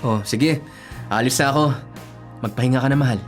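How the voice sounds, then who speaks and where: An actor as a devoted young Filipino boyfriend, gently earnest. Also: male voice, mid-range voice, brisk, soft background music, lightly acted. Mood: gentle, reassuring. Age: young adult. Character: devoted, self-sacrificing young working boyfriend and son. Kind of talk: Tagalog radio drama acting.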